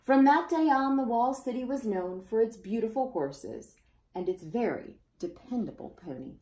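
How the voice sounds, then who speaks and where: Lively woman storyteller in an echoey room. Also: on the high side, normal speed. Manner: expressive, addresses young listeners with asides. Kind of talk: expressive children's picture-book read-aloud. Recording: roomy, echoey indoor sound.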